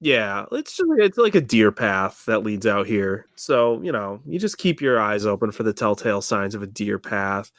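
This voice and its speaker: Wry, blunt tabletop player with mid-pitched voice. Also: very animated, moderate pace, few pauses, no room sound, studio quality. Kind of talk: casual chat and in-game banter.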